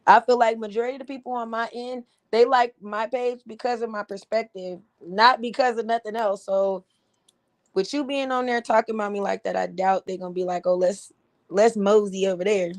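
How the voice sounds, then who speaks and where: A woman with a slightly raspy voice hosting a casual livestream, giving blunt, animated commentary with frequent laughs. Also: medium pitch, a little fast. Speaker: livestream host.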